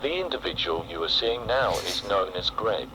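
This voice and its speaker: A man playing a calm official emergency announcer, reading a public broadcast in a clinical, formal way. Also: low-pitched, moderate pace, crowd noise, poor audio. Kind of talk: scripted public broadcast narration.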